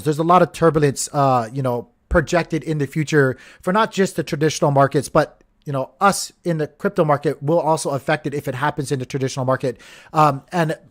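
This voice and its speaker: A man from Hawaii talks through crypto and stock charts in loose, rambling commentary, often calling his viewers "guys." His voice sits a little high for a man. He restarts his sentences often and fills the pauses with "uh" and "like.". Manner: rambling, chatty, lots of fillers and restarts. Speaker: crypto channel host. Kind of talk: casual market commentary.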